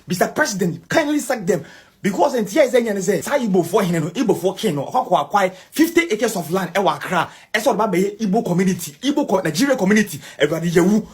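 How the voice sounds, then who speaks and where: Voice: medium pitch, animated, fast, breathy edge. An activist making a heated, demanding public appeal in mixed English and Pidgin.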